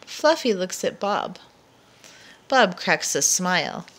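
A woman reads a picture book aloud for young children. Her pitch moves around a lot and she takes her time, placing dramatic emphasis on key words in an expressive storyteller's style. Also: slow pace.

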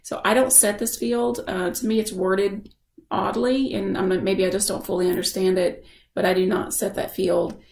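Woman, creaky voice, casual tutorial narration, explanatory, thinking aloud, noticeable room echo. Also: medium pitch, steady tone, moderate pace, darkish tone. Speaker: software instructor.

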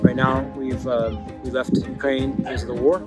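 A man's voice: mid-range voice, level tone, moderate pace. An older man, a pastor, giving a plain, matter-of-fact update with some hesitations. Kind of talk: personal testimony. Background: music.

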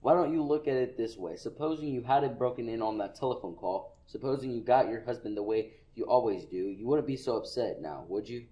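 A dismissive, drawling desk cop, played by a student in a school radio play. His voice is mellow and mid-pitched, and it rings in an echoey room. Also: a little fast, hardly any pauses, muffled recording, natural voice. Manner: offhand, drawling, condescending. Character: bored dismissive police desk sergeant. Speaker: student actor. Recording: echoey classroom recording.

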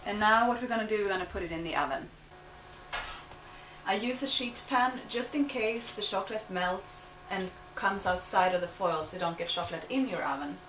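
A woman's voice: medium pitch, normal speed. Woman cooking in an echoey kitchen. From Sweden. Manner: relaxed, explaining step by step while cooking. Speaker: cooking show host. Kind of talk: home cooking demonstration. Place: home kitchen, indoors. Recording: echoey kitchen, distant mic, noisy.

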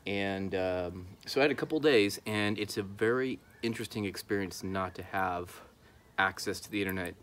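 A man in his thirties with a slightly low voice hosts a casual vlog. He explains things to the camera in a conversational way while sitting outdoors. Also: a bit bright. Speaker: YouTube host, amateur radio hobbyist. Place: outdoors in a backyard.

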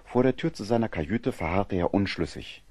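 An actor as the sober narrator of a sea adventure radio play, giving measured, scripted storytelling. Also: male voice, fairly low pitch, animated, darkish tone, dry recording.

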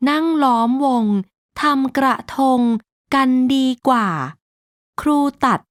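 A woman narrating a rhyming children's storybook in a slow, measured, sing-song way. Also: sits high, fairly even intonation, no echo, studio quality.

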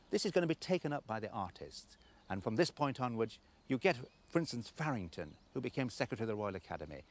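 A middle-aged man explaining as an expert in an informal, discursive way. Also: mid-range voice. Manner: animated, discursive. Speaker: expert commentator. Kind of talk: informal explanatory talk to camera.

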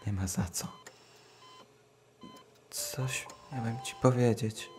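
A man's voice: medium pitch, steady tone, slow pace, over music, close to an everyday voice. An actor playing an earnest little boy who questions everything in a plain, childlike way, in an audio drama. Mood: quiet, pensive.

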